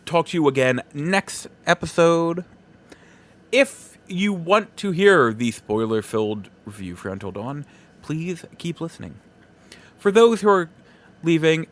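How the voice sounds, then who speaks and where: A man hosting a solo podcast, giving casual opinion commentary in a halting, emphatic way, repeating phrases for effect. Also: on the high side, animated, fairly bright tone. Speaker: podcast host.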